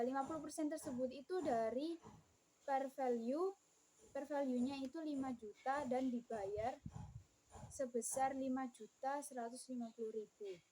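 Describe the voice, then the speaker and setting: A woman's voice: high-pitched, dark tone, low-quality recording. A young woman gives an explanatory student presentation, sometimes repeating phrases as she goes. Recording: clear background room noise.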